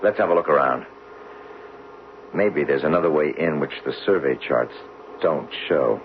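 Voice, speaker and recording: A bold, inquisitive geologist leads an underground expedition in a radio mystery play. His deep voice swings expressively between terse commands and eager curiosity. The old broadcast recording is dull, with background noise. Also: animated, moderate pace. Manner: commanding, giving terse instructions. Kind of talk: radio mystery drama acting.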